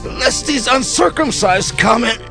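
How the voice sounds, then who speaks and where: An actor playing a desperate wounded ancient king begging for death, strained and halting, in a Bible audio drama. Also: extremely high pitch, animated, gravelly, pushed and bright, faint music behind, full character voice. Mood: desperate, anguished.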